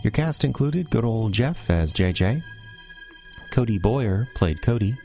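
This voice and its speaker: A man's voice: on the low side, lively intonation, unhurried, dark tone, soft background music. A man reading scripted closing credits as the announcer of a radio show.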